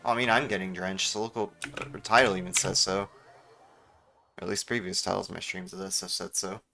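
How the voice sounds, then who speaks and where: A man's voice: low voice, flat delivery, fairly quick, vocal fry, bright, forceful tone. A man giving casual, offhand live commentary as he streams a game, often talking to himself. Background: game music.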